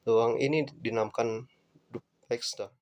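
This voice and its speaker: A young man, a student presenter, reading out a class presentation in a plain, measured way. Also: medium pitch, fairly even intonation.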